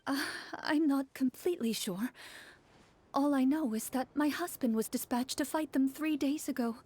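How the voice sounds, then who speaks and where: An actress playing an anxious wife in a video game, who talks in a hesitant, worried way. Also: female voice, high voice, normal speed, darkish tone, lightly acted. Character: anxious young wife waiting for her husband.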